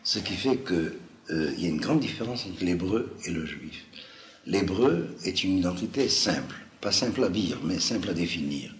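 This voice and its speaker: A man with a low voice and a slight Toulouse-like accent, a native speaker of Judeo-Arabic, lectures off the cuff. He explains didactically and haltingly, repeating phrases for emphasis. The room echoes around him, with faint noise behind. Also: lively intonation. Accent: slight southwestern French (Toulouse-like). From Algeria. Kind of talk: extemporaneous lecture to listeners. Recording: roomy echo, faint background noise.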